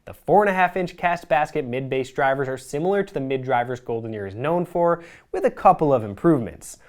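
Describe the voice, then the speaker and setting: A presenter reading a scripted product review in a measured, explanatory way. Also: medium pitch, expressive, darkish tone.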